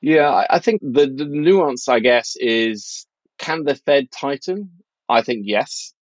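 A man's voice: mid-range voice, animated, slow, no echo, muffled recording. A middle-aged man from Norway talking casually and analytically as an interview guest, blunt and opinionated in an informal way. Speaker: financial journalist and author, interview guest.

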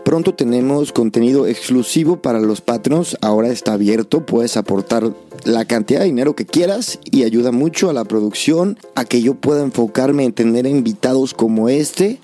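Guy hosting a podcast, soft mellow voice, informal and into it, some music under him. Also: medium pitch, lively intonation, moderate pace. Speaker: podcast host. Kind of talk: podcast introduction and casual interview. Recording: music behind the voice.

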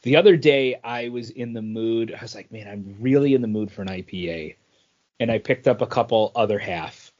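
A man's voice: lowish voice, moderate pace, a little mellow, highs cut off. A man co-hosting a podcast in casual chat, loosely searching for words as he goes. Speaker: podcast co-host.

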